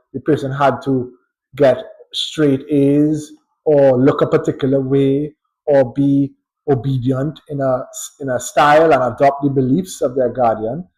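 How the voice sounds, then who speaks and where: A man with a Trinidadian accent, a psychologist giving a thoughtful, unhurried educational talk with pauses and light chuckles. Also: mid-range voice, darkish tone, low-quality recording. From Trinidad and Tobago. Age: adult.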